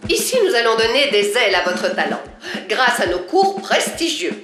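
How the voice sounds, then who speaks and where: A strict, self-important cartoon school director. She speaks theatrically in a highish voice with lively swoops. The sound is echoey, with faint music behind. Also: normal speed. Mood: pompous welcome. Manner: theatrical, pompous. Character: grandiose, strict woman director of a talent campus. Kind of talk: French-dubbed children's cartoon acting.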